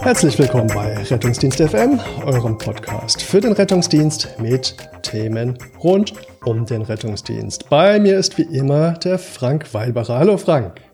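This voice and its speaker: A man hosts a podcast in a chatty, friendly way. His voice has a gravelly, creaky texture. He sits at a middle pitch. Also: sing-song, a little slow, hardly any pauses, music in the background. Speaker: podcast host. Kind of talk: casual podcast interview.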